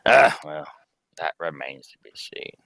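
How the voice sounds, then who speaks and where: A man's voice: low-pitched, sing-song, a little slow, gravelly, sharp tone, full character voice. A young man playing a grave, urgent alien scientist and family man in a live radio play reading, speaking in solemn, theatrical pronouncements. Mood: eager. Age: young adult. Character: grave, urgent Kryptonian scientist, husband and father. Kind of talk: live amateur reading of old radio script.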